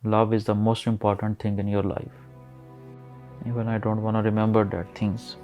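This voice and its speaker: A man, speaking hesitantly about his own life with many pauses. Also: on the low side, monotone, soft background music. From Pakistan. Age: 30s. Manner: hesitant, soft-spoken, many fillers. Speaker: documentary interviewee. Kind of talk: personal testimony.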